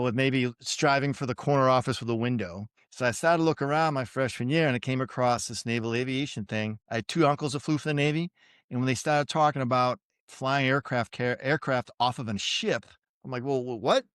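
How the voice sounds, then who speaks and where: A man with a Boston accent talks through his past in a relaxed, self-deprecating way, often pausing on fillers. His voice is smooth and resonant. The sound is close and dry. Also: mid-range voice, no room sound. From suburban Boston, USA. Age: 50s. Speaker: podcast guest, retired Navy pilot. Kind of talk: casual interview storytelling.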